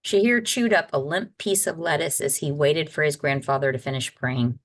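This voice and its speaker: A woman's voice: deep voice, normal speed. A woman reading a children's novel aloud as a guest storyteller, in scripted narration. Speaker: guest reader.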